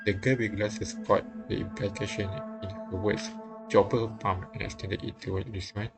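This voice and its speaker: A man with a fairly low voice narrates a visual novel live. He reads haltingly and adds casual remarks, with game music clearly behind him. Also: little pitch movement. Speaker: streamer reading a visual novel aloud. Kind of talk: live read-aloud narration with casual comments.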